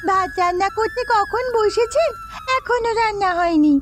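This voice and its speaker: A woman voicing a sharp-tongued, defiant young daughter-in-law in a cartoon. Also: very high-pitched, normal speed, faint music behind. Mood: nagging, angry. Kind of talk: Bengali moral-tale cartoon dubbing.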